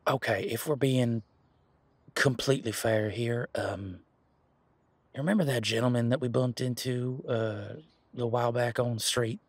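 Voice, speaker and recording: A man playing a laid-back, responsible werewolf security guard in a casual roleplay audio, talking in a colloquial, halting, matter-of-fact way with a husky voice. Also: mid-range voice, fairly even intonation, no room sound, close to an everyday voice. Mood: sheepish, defensive.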